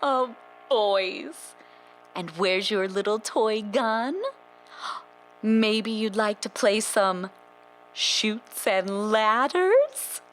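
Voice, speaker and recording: A woman's voice: medium pitch, very slow, low-quality recording. A woman playing a chirpy, oblivious, fussing housewife mother in a stylized horror drama, drawing out her words sweetly. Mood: concerned, scolding. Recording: steady background noise and hum.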